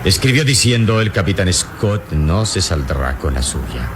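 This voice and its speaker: Super deep radio serial adventure hero, calm n gallant, theatrical, noisy plane hum behind. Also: male voice. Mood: thoughtful. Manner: deliberate, theatrical, reassuring. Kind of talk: old Latin American radio serial acting. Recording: noisy radio drama with engine drone.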